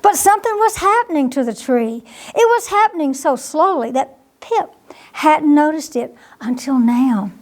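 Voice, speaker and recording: A woman's voice: high voice, lively intonation, normal speed, a little mellow. A woman reading a bedtime picture book to young children, expressively, with questioning asides to her listeners. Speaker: storytime reader at a library.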